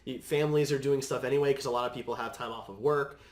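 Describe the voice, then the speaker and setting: A man's voice: mid-range voice, steady tone, fast. A man co-hosting a podcast, casually explaining his opinions on movies in an opinionated way. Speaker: podcast co-host. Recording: echoey room.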